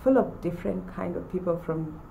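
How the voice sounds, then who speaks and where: A woman in her forties from Uganda, telling her own story in a measured way with pauses. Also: on the low side, creaky, mellow, slight background noise. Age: 40s. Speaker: former college student. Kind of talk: personal testimonial to camera.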